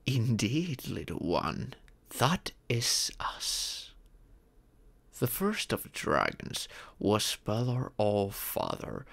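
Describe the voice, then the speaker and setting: Man acting a slow, gravelly ancient dragon father. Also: on the low side, wildly swooping pitch, fairly bright tone, heavily acted. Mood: storytelling, measured. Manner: formal, patient, fond, instructive. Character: ancient immortal male dragon, former king, doting stern father. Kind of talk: ASMR roleplay, scripted monologue.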